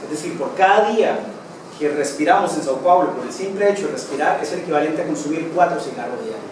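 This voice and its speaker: A man's voice: a little high, a little mellow. Costa Rican man lecturing in an echoey hall. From Costa Rica. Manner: measured, frequent fillers and restarts. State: sore throat. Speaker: conference speaker. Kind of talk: political lecture. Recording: echoey hall, distant mic, clear noise.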